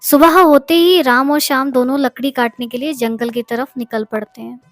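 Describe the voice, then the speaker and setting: High-pitched woman narrating a story. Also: fairly even intonation, normal speed, dry recording, clean studio sound. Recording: music behind the voice.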